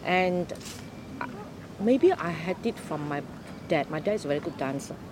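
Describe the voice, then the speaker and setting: An older woman from Malaysia telling her life story in an unhurried, explaining way during an interview. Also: low voice, expressive, noisy background. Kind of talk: oral history interview.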